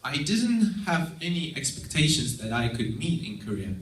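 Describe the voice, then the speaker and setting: A man's voice: a little high, big pitch swings, a bit bright, slight background noise. A young man from Estonia with a Russian accent, a native Russian speaker, giving an earnest prepared talk as an exchange student in a lecture hall. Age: young adult. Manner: earnest, measured, with occasional restarts. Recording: roomy sound, mic at a distance.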